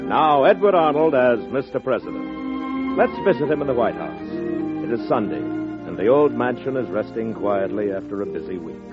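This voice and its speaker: A man's voice: medium pitch, sing-song, normal speed. A man with an American accent narrating in a grand, declamatory 1940s radio announcing style. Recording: muffled vintage radio broadcast. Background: music.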